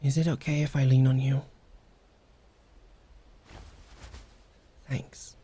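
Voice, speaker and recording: A woman playing a prickly, vulnerable, secretly tender young wolfgirl in an intimate ASMR roleplay, speaking haltingly and often dropping into whispers. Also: rumbling low, little pitch movement, slightly rough. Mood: grudging, shy. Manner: halting, guarded, softly emotional.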